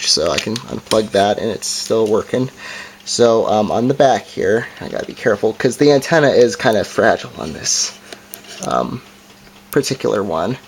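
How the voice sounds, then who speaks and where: A man giving a casual, halting hands-on gadget demonstration in an unscripted way. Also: mid-range voice. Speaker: product reviewer. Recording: noisy amateur home recording, clicks.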